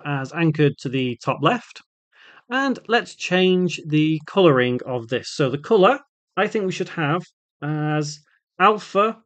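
Man presenting tutorial, slightly higher-pitched voice. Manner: instructional, thinking aloud. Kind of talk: unscripted step-by-step software tutorial voice-over.

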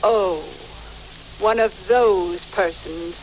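An actress playing a snooty, suspicious middle-aged wife, stiff and imperious, in 1940s radio style. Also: female voice, mid-range voice, extremely slow, darkish tone. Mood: indignant. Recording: noisy vintage 1940s radio recording.

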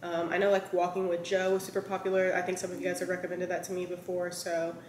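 A woman's voice: on the low side, completely flat delivery, quick pace, darkish tone. A woman in her thirties chatting casually to camera as a lifestyle vlogger, rambling with lots of fillers, her throat a bit scratchy. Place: large bright home kitchen. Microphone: camera on a counter, mid-shot. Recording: echoey kitchen with faint room noise.

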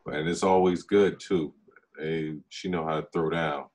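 A man's voice: a bass voice, steady tone, unhurried, darkish tone. A young man chatting casually with friends on a video call. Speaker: actor at a table read. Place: dim room indoors, on a video call.

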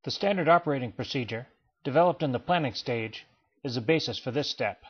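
A man narrates a training slideshow in a steady, explanatory manner, his voice sitting at an ordinary middle pitch. The recording sounds slightly dull and lo-fi, like a plain home voice-over. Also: slightly rough. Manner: measured, even explanatory delivery. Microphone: voice-over, not recorded in pictured place.

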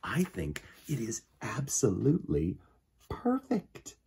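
A man's voice: mid-range voice, wildly swooping pitch, slow pace, vocal fry, a little mellow, slight background noise, full character voice. A man voicing a kindly, theatrical lady music teacher mouse in a storybook, lilting and genteel. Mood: gracious, coy. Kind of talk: children's storybook character reading.

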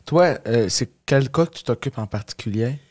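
A man's voice: mid-range voice, animated, moderate pace, darkish tone, slightly rough. A young man with a Quebec French accent hosts a casual interview on a close studio mic. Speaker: youth radio show host. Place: small indoor radio studio. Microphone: close studio mic on boom arm.

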